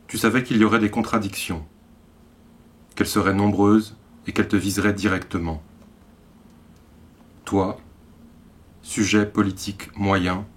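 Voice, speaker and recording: A man with an accent from France reads literary poetry in a measured way, in short phrases set apart by deliberate pauses. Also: lowish voice. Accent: French from France. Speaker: poet reading own work.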